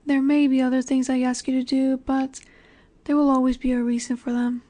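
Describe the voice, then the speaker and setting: A woman playing a dominant, nurturing snake-woman monster in an intimate roleplay audio, speaking in a gentle, coaxing way that often sinks toward a whisper. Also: high-pitched, level tone, a little fast, close to an everyday voice. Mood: gently firm.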